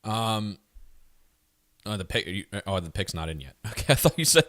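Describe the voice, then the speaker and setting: A man co-hosting casual sports talk in a halting way, piecing his questions together as he goes. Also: on the low side, very animated, a bit bright, no room sound, clean studio sound. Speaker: sports show co-host.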